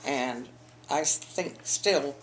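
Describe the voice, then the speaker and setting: A woman's voice: a bass voice, takes it slowly, slight background noise, poor audio. An older woman from the eastern United States, telling her school memories in an oral history interview, rambling with frequent restarts. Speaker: interviewee, alumna.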